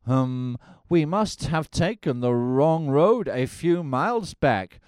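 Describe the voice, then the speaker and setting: Man doing an earnest square young guy, fussy, slow, smooth, dry close sound. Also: fairly high pitch, animated, a little mellow, no room sound. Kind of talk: parody of a musical film.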